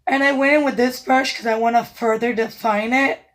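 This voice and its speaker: A woman with a naturally raspy voice that is hoarse and cutting in and out, pitched a touch high. She talks through a makeup tutorial in a calm, instructive way. Now and then she drops into soft asides while she works. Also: fairly quick. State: hoarse, voice going in and out. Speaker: makeup artist.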